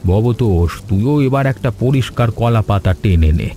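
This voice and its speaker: Village elder for animated story, male actor: soft dark tone, grave, caring, measured delivery. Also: fairly low pitch, a little hiss or hum, close to an everyday voice.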